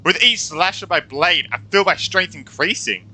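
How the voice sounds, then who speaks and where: A man playing a grandiose, self-serious anime swordsman in mock-heroic game role-play, theatrical and drawn-out. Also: sits high, expressive, sharp tone, exaggerated voice, low-quality recording. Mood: power-drunk. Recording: game music behind the voice.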